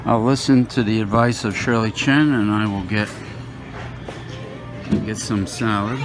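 Male food vlogger, unhurried, thinking out loud on a handheld phone, somewhat low voice. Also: music in the background, poor audio. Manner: rambling, musing aloud with many fillers. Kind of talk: casual narration while filming. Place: indoor buffet restaurant. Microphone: phone camera held while walking.

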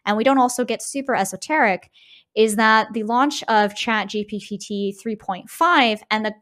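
A woman's voice: a little high, moderate pace, fairly bright tone. A woman hosting a podcast in a casual analytical discussion, discursive and often checking in with "right?". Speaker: podcast host.

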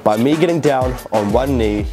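A young man, a fitness YouTuber telling an excited story to camera, recorded indoors on a lapel mic. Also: medium pitch, moderate pace. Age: young adult. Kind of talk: upbeat vlog narration to camera. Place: indoor living room, seated. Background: background music.

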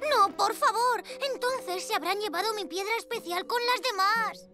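Excitable little cartoon hero boy, super high voice, music behind. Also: normal speed, exaggerated voice. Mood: worried, eager. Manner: pleading, excitable.

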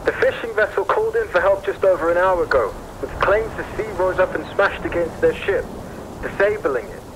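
An actor playing an urgent male TV reporter, yelling a live storm report in an audio drama through a radio-like filter. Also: high-pitched, a little fast, background noise. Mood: urgent, alarmed. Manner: yelling, strained.

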